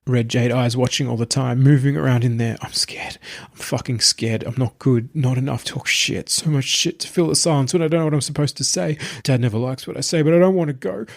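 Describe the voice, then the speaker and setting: A man's voice: medium pitch, brisk, creaky, voice pushed up, lightly acted. A man voicing a terrified young man in a narrated story, babbling and pleading in broken phrases like a frightened child.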